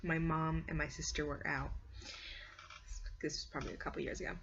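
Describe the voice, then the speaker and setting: Woman vlogger, lower-pitched, rambling casual chat, animated delivery, muffled lo-fi home recording. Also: a little fast. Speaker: vlogger and hobby story writer.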